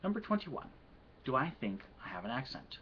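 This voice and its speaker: A man with a Midwestern accent answering an accent questionnaire and slowly reading a poem aloud, with hesitant pauses. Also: medium pitch, big pitch swings, slight background noise. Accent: Midwestern American. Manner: measured and soft-spoken, with hesitant "um"s. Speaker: vlogger answering an accent challenge. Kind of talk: casual answers and slow poetry reading. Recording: quiet, dull lo-fi home recording.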